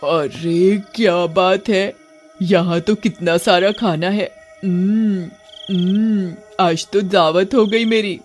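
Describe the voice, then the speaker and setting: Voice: mid-range voice, steady tone, takes it slowly, faint music behind, lightly acted. A performer voicing a hungry female witch in a cartoon story who mutters greedily to herself. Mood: gleeful.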